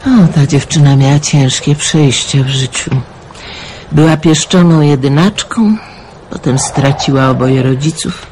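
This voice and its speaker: A woman playing a chatty, motherly middle-aged lady of a remote house, gently teasing her lodger, in a radio drama. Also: low voice, unhurried, rough recording. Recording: faint background noise.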